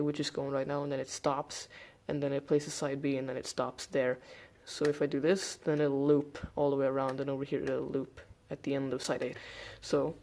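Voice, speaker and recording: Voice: medium pitch, vocal fry, a little mellow. A hobbyist presenter giving a casual hands-on demonstration, explaining things informally step by step.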